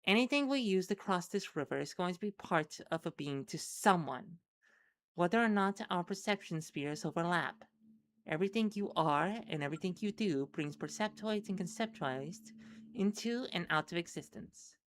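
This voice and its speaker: A woman voicing a genteel, long-winded otherworldly companion, calm and pompous, in a role-playing game. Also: fairly low pitch, normal speed, lightly acted. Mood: blithe, lecturing. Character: genteel, wordy, philosophical otherworldly companion, blithely calm about death.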